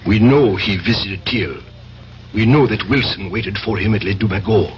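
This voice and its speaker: Man acting a deep-voiced, commanding spymaster. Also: lightly acted. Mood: cool, commanding. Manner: measured, formal, authoritative. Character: commanding, calculating male spymaster, cool and controlled. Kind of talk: 1970s radio drama acting. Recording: dull vintage radio broadcast, faint noise.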